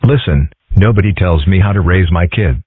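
A man's voice: deep voice, normal speed, breathy edge, no room sound, phone-quality sound. A man voicing a brash, belligerent used-car salesman father, dismissive and blustering, in a cartoon comic dub. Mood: combative.

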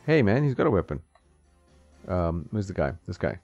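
A man's voice: low-pitched, very animated, moderate pace, darkish tone. A man casually commenting while playing an old fighting game at home, chatting and laughing at the screen. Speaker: gaming channel host. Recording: slightly dull, dry sound with game music behind.

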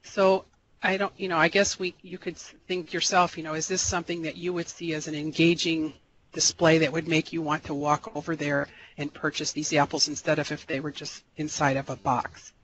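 A man giving a recorded slideshow lecture as an extension educator, relaxed and rambling, with many fillers and restarts. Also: fairly high pitch, a little fast, slight background noise, poor audio. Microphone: voice-over for slides.

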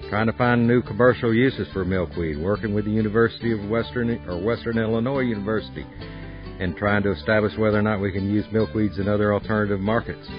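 A middle-aged American man narrating a tour as a farm guide. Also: fairly low pitch. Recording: dull lo-fi sound, guitar music behind. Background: acoustic guitar music.

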